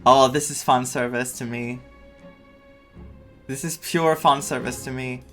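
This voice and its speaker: A woman's voice: extremely low pitch, steady tone, unhurried, a bit bright, faint music behind. A woman giving casual, informal reaction commentary as a game streamer.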